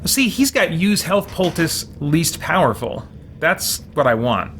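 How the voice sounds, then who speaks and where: A man's voice: on the high side, big pitch swings, a little slow. A man hosting a video game let's play, giving casual commentary, muttering to himself and reacting theatrically in role. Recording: loud game noise behind voice. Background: video game sound.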